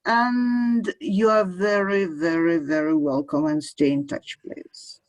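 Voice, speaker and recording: A woman from Russia, a museum researcher, speaking haltingly over a video call with many fillers and repeated words. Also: fairly low pitch, fairly even intonation, no echo, rough recording. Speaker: museum researcher asking a question.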